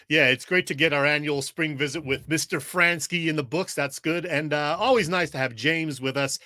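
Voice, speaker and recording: A man's voice: fairly high pitch, moderate pace, bright, forceful tone, no room sound, studio quality. A man co-hosting a sports podcast in a casual, chatty style. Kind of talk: casual chat.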